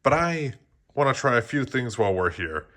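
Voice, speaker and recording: A man's voice: medium pitch, sing-song, normal speed, a bit bright. A man voicing a young, troublesome female soul in a storybook, exclamatory and eager.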